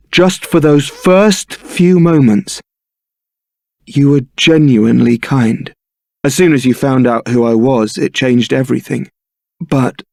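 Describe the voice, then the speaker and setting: A man's voice: mid-range voice, creaky, a little mellow, no room sound. A man playing a suave, sardonic young templar knight and roguish ladies' man in a fantasy video game, with a dry, theatrical delivery. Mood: sorrowful.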